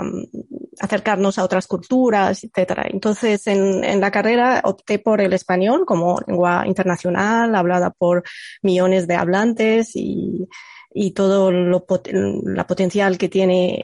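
A woman in her thirties from Egypt, a native Arabic speaker, answering in a relaxed interview with many pauses and restarts. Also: medium pitch, creaky, no room sound, studio quality. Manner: hesitant, many fillers and restarts. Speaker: computational linguist guest. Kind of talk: conversational podcast interview.

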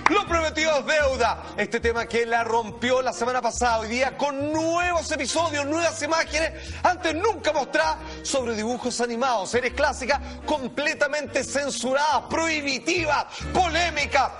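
A man with a Chilean accent presenting and commenting excitedly as a TV show host, emphatic, dramatic and repetitive. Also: very high-pitched, normal speed, hardly any pauses. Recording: loud music bed under voice.

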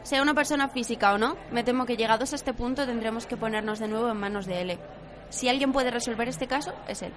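A woman's voice: on the high side, fast talker, natural voice. A woman playing an authoritative official addressing a police meeting in an anime radio drama, firm and formal. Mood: firm, grave. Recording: busy crowd murmur behind.

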